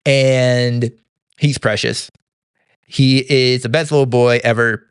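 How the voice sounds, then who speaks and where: A young man hosting a podcast, giving a casual monthly recap in an upbeat, halting way with many restarts and a throat that sometimes sounds slightly gravelly. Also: medium pitch, fairly even intonation, dry recording, clean studio sound. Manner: upbeat, laughs easily. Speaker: podcast host.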